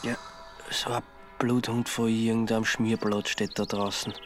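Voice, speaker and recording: A man's voice: on the low side, level tone, moderate pace, music in the background, close to an everyday voice. An actor playing a hapless, hungover young Austrian man in a radio drama, speaking plaintive colloquial dialect. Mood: pleading, conspiratorial.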